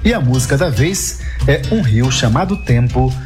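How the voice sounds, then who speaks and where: A man with a Brazilian accent hosting a music programme, reading scripted narration in a measured announcer's delivery. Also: mid-range voice, animated. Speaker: radio host and interviewer. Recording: loud music under the voice.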